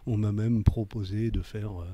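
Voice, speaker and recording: Interview guest: man, sixties, reflective and halting, husky tone, close dry sound. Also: on the low side, level tone, dark tone, no room sound. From Paris, France. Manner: hesitant, searching for words, with repeated words. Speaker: interview guest, leather craftsman. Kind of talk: reflective autobiographical interview talk.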